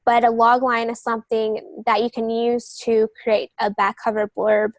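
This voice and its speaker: A woman's voice: on the high side, flat delivery, moderate pace, no echo. A woman speaking as a guest on a writing podcast, explaining things in conversational interview talk. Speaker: guest novelist and literary agent.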